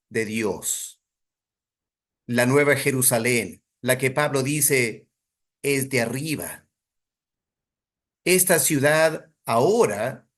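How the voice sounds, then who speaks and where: A man teaching a Bible study in a deliberate, emphatic sermon style, putting rhetorical weight on his phrases. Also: mid-range voice, a bit bright.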